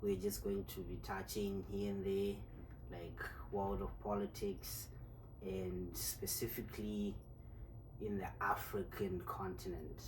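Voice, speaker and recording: A man with a fairly low voice hosts a relaxed podcast chat and leans on easy fillers. He sounds a bit distant from the mic in an echoey room, with a noticeable background hiss. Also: takes it slowly. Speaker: podcast host. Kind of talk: casual podcast conversation.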